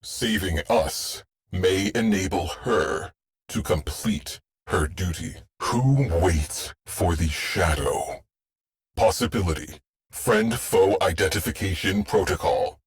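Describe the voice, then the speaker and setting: A very low-voiced, grave machine mind of a starship for a video game. He speaks slowly and with a husky rasp, in clipped, cryptic phrases that sound solemn and weary, like a terse military officer. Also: expressive, exaggerated voice. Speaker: ship AI giving crew briefings and alerts. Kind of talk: scripted video game voice lines.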